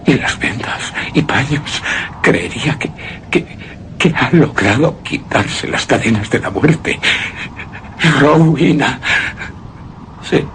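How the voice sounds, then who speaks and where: An actor playing a terrified, anguished widower in a gothic horror radio drama, speaking theatrically in halting, repeated phrases. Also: male voice, mid-range voice, lively intonation, slight background noise. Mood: astonished, fearful. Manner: halting, theatrical, repeats words, breaks into hushed gasps. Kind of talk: Spanish-language horror radio drama monologue.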